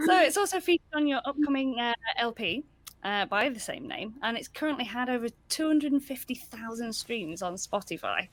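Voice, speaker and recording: Bubbly, lively female co-host. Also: on the high side, moderate pace. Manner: bubbly, effusive, laughs easily. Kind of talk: interview hosting.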